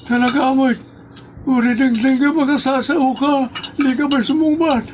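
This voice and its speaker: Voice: high-pitched, steady tone, normal speed, slight background noise, close to an everyday voice. A performer with an Ilocano accent voicing an indignant, whiny customer at a small eatery in a comedy drama.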